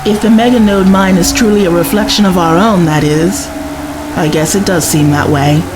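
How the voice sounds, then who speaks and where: A woman acting in a science fiction audio drama as an earnest, inquisitive traveling companion, expressive and often questioning. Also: lowish voice, moderate pace, noisy background, lightly acted. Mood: concerned, curious. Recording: music behind the voice.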